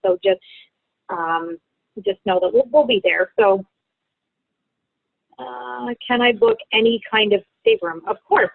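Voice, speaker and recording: A woman's voice: a little high, dry recording. A woman from Ontario hosting a travel webinar, chatty and reassuring on a video call. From Ontario, Canada. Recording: tinny phone-like call audio.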